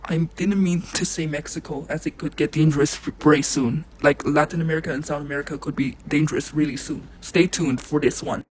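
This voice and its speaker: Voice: mid-range voice, steady tone, brisk, hardly any pauses, a little hiss or hum. An announcer delivering scripted warnings for a mock emergency alert broadcast.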